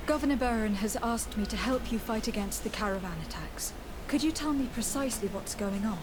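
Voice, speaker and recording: A woman playing a composed, diplomatic young noblewoman legate in a video game, speaking formally and asking measured questions. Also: fairly high pitch, background noise, close to an everyday voice. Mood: composed, polite. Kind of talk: scripted video game dialogue.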